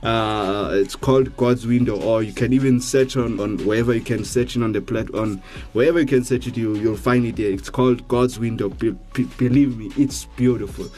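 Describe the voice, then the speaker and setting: A young South African man co-hosting a faith radio show, chatting casually in a halting way with lots of fillers and restarts. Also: medium pitch, fairly even intonation, darkish tone, faint music behind. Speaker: radio co-host.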